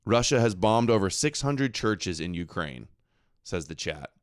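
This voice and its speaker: A man reacts to a clip on his own show, mocking and arguing with it. His voice is smooth and resonant, and his tone stays sarcastic throughout. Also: low-pitched, big pitch swings, measured pace. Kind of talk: live reaction commentary.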